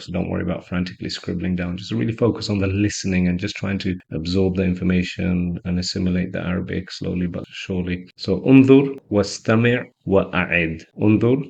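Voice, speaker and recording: A man's voice: deep voice, creaky, darkish tone, breathy edge, dull audio. A man teaching a beginner Arabic lesson, patiently explaining phrases word by word.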